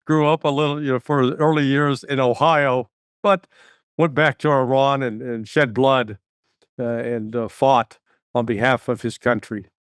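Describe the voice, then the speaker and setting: An older man hosts a talk show and rambles through his introductions, stumbling and restarting often. His voice sits at a middle pitch. It is recorded dry and close. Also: fairly even intonation, moderate pace, smooth, dry recording. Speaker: show host. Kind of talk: casual interview hosting.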